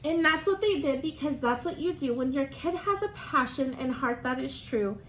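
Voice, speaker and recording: A woman reads aloud to young children as a teacher, in a sing-song, expressive way, pausing to prompt answers from her listeners. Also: sits high, slight background noise, poor audio.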